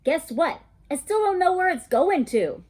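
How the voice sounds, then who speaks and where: A woman voicing an outspoken, stubborn five-year-old girl in a children's storybook, with a blunt, childish, expressive delivery. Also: extremely high pitch, unhurried, pitched above the performer's own voice, heavily acted. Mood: insistent, annoyed. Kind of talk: children's storybook read aloud.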